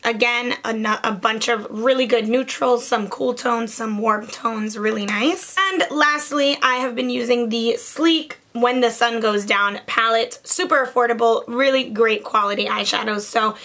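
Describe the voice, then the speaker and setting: A young woman with a hoarse, raspy voice, chatting casually through a makeup tutorial with many "like" fillers. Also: on the high side, a little slow, few pauses, sharp tone. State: hoarse from lost voice. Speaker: beauty vlogger.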